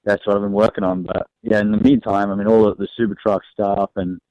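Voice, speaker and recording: A man's voice: lowish voice, level tone, fast talker, warm tone, no echo. Easygoing man with a mixed Aussie accent. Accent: mixed Australian and American. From Australia. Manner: easygoing, laughs often, many fillers. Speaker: race car driver guest. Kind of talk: phone-in radio interview. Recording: dry, dull phone-line sound.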